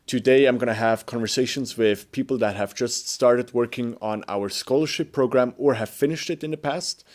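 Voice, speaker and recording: A man hosting an interview show, introducing guests and asking relaxed, friendly questions. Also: medium pitch, normal speed. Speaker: host.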